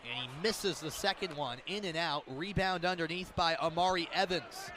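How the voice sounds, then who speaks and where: A man's voice: on the high side, moderate pace. A man doing live radio basketball play-by-play in clipped, vivid calls. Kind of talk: live sports commentary. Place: basketball arena during live games. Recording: clear arena noise, bouncing basketball.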